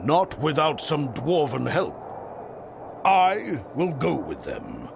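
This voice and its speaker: A man playing a brave, resolute dwarf warrior in a fantasy game, gravelly and stoic, with declamatory delivery. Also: a little high, wildly swooping pitch, slow pace, noisy background, heavily acted. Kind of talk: fantasy game cutscene acting.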